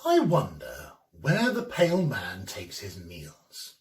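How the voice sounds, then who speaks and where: Fussy lonely professor type, middle aged, really husky voice, swoops up and down, ghost story monologue. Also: male voice, sits low, huge pitch swings, slow, full character voice. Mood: puzzled, fretful. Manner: hesitant, musing, theatrical, precise wording. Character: lonely, fussy, timid middle-aged male academic, peevish and brooding. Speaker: actor. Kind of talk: performed dramatic reading of a classic ghost story, first-person monologue.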